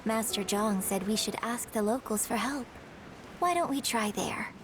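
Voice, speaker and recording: A woman's voice: a little high, moderate pace, noisy background, lightly acted. An actress voicing a courteous, composed young woman in a fantasy game. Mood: polite. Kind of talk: game voice acting.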